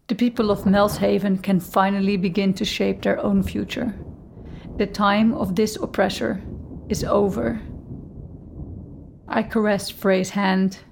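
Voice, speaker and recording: A woman reading a first-person novel as an audiobook narrator, with measured, deliberate phrasing. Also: medium pitch, vocal fry, slight background noise.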